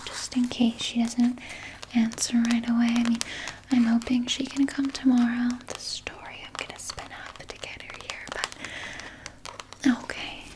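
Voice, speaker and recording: A woman playing a soothing, evasive vampire nurse in a soft, breathy ASMR whisper, gently coaxing and sweetly sinister. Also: sits high, a little hiss or hum, poor audio. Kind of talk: whispered ASMR personal attention roleplay.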